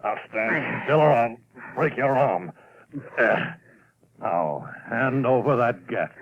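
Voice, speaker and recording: An actor playing a gruff, bossy police commissioner, barking demands in 1930s radio crime drama style. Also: male voice, medium pitch, slow pace. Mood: barking orders. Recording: dull, lo-fi old radio recording.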